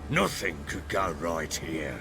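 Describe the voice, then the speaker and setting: An actor playing a gruff, grieving, volatile middle-aged baron in a dramatic video game, brooding and blunt. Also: male voice, sits low, very animated, slow pace, noisy background, exaggerated voice. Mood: bitter, grieving. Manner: brooding, blunt, agitated when pressed.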